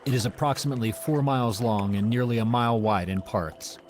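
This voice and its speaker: A man's voice: mid-range voice, fairly quick, a little mellow. A man reading scripted documentary narration as the voice of an audio encyclopedia. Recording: music under the narration. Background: background music.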